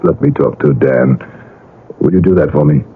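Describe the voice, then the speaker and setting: An actor playing an anxious, possessive middle-aged father in a 1970s radio drama, earnest and halting as he pleads. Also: extremely low pitch, big pitch swings, creaky, breathy edge, heavily acted. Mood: tense, pleading. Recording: muffled vintage radio broadcast.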